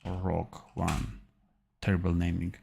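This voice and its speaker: Low gravelly man from Armenia, slow halting livestream tutorial, keyboard clicking. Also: little pitch movement, dark tone. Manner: thinking aloud, halting with fillers and self-corrections. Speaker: animation tutor. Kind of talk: live-streamed software tutorial.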